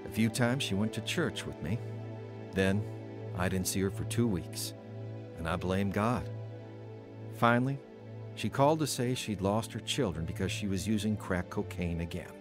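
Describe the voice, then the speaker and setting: An actor in an audio drama playing an earnest, emotional, wavering ex-convict who has newly found religion. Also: male voice, deep voice, moderate pace, pauses often, music in the background, natural voice. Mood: somber narration. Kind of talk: audio drama acting and first-person narration.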